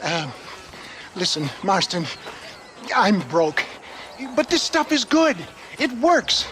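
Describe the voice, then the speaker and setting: Pompous, high-pitched old snake-oil salesman. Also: sing-song, slow, background noise, exaggerated voice. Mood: wheedling, evasive. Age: older. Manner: florid, pompous, theatrical orator.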